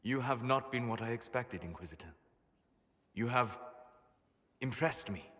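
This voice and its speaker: A somber, measured elf mage. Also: male voice, medium pitch, expressive, a bit bright, in a large hall. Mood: melancholy. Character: calm, learned male elf mage.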